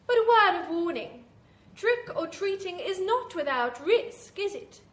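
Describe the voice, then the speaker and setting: An actress playing a beguiling, gleefully macabre hostess of a 1940s-style horror radio show, with theatrical, teasing phrasing. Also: female voice, extremely high pitch, very animated, unhurried, fairly bright tone, exaggerated voice. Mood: teasing, ominous. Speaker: host. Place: small theatre before an audience. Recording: echoey live stage.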